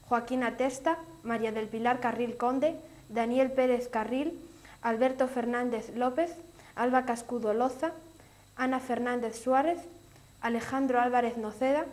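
A young woman with a high voice presents a local television contest. She recites scripted announcements and lists formally, in a small studio. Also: a little mellow. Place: small indoor studio with curtains.

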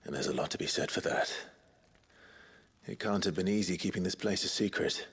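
A man playing a brooding, courteous warrior hero in a fantasy video game, gravelly and husky, terse and earnest. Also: deep voice, fairly quick, a bit bright, dry recording, heavily acted. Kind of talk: video game cutscene acting. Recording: music playing behind the voice.

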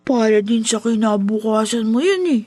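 A woman playing a gentle, wistful child in a Filipino radio drama, plaintive and earnest. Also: on the high side, normal speed, dry recording, natural voice. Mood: gentle, consoling.

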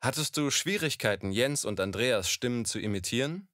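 A man's voice: medium pitch, animated, moderate pace, fairly bright tone, no echo, clean studio sound. A man asking short questions as an off-camera interviewer.

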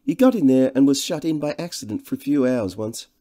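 A man's voice: medium pitch, animated, fairly quick, warm tone, pitched above the performer's own voice, natural voice. A man voicing a glib, charmer in an audiobook, with a jaunty, flippant manner. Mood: coaxing. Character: breezy, smooth-talking upper-class schoolfellow with glib charm. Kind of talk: audiobook character dialogue.

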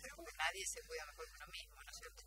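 A woman's voice: very high voice, wildly swooping pitch, very fast. An Argentine woman answering conversationally as a radio guest, reflective and often seeking agreement. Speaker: radio guest, member of a theatre group. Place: small radio studio. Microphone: studio desk microphone. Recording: clear background noise.

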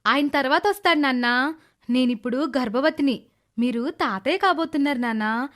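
A woman's voice: high-pitched, moderate pace, a bit bright. A woman voicing a meek, respectful young pregnant stepdaughter in a dramatized moral story. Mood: affectionate. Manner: polite, pleading.

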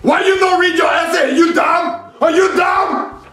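A man playing a belligerent teacher puppet in a comedy skit, shouting repetitive insults at a pupil. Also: extremely high pitch, fairly even intonation, a little slow, reverberant, loud music behind the voice, over a noisy crowd. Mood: angry, taunting.

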